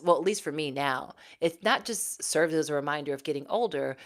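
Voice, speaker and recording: Woman, 40s, low voice, ex-news anchor, casual livestream host. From Houston, Texas. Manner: chatty, reads out viewer comments, laughs often. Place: home.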